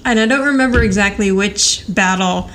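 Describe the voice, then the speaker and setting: A woman's voice: mid-range voice, moderate pace, fairly bright tone, a little hiss or hum, poor audio. A woman co-hosting a podcast, casually and chattily recapping television. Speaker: podcast co-host.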